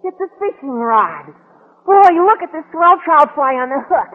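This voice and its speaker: A man playing an eager, gawky teenage schoolboy with a squeaky adolescent voice in an old radio comedy. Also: very animated, normal speed. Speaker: actor. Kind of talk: 1950s radio comedy acting. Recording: tinny, slightly noisy old radio recording.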